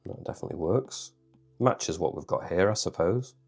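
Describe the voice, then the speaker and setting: A man with a bunged-up cold voice, calmly talking through a drawing tutorial step by step. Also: deep voice, moderate pace, breathy edge, faint music behind. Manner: calm, reassuring, thinks aloud. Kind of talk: relaxed step-by-step tutorial narration.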